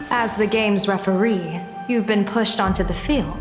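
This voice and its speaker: An actress plays a shrewd woman strategist in a video game, calm and formal. She talks slowly and with composure at a medium pitch. The voice echoes as in a hall, with music behind it. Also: close to an everyday voice. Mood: probing.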